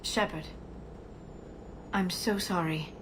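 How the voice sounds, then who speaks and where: A woman voicing a gentle, composed female alien companion in a video game, polite in manner. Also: lowish voice, fairly even intonation, slow, background noise. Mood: sympathetic. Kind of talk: cinematic game dialogue.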